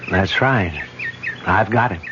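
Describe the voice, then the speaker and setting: An actor playing a persistent, skeptical police lieutenant who speaks in a calm, matter-of-fact way, in a 1970s radio drama. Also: male voice, deep voice, takes it slowly. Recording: muffled vintage radio broadcast with noise.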